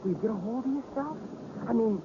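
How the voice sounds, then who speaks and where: An actor playing a folksy carnival sidekick in a radio drama, casual and slangy, wisecracking and placating. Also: male voice, very high-pitched, quick pace. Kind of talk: 1980s radio drama acting. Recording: muffled, noisy old radio drama recording.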